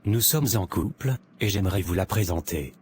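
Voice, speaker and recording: Man playing a deep-voiced earnest young student. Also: lively intonation, normal speed, a little mellow, slight background noise. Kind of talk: animated drama dialogue. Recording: music behind the voice.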